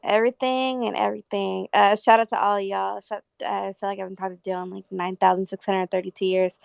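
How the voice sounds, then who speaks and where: A woman's voice: mid-range voice, little pitch movement, fast, gravelly, clean tone, no echo. A woman chatting casually in a breezy, slangy way. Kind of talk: casual chat.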